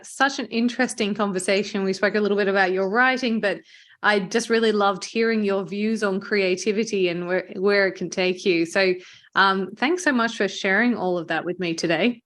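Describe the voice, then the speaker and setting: Australian woman hosting, medium-pitched voice. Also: fairly bright tone. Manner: chatty, appreciative, affirming. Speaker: podcast host. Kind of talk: conversational interview hosting.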